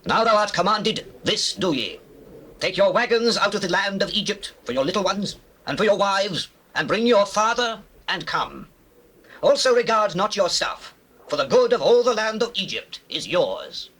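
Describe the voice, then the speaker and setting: An actor playing an imperious, generous Egyptian king in a scripture drama, declaiming commands in a husky, forceful voice. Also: high voice, lively intonation, moderate pace, heavily acted. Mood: grand commanding.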